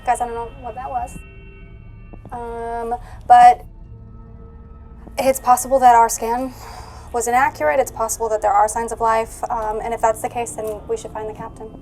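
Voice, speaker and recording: A woman's voice: on the high side, level tone, moderate pace, long pauses, darkish tone, low-quality recording. A woman playing a tabletop role-playing game, chatting casually and partly in character, with music behind her. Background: background music.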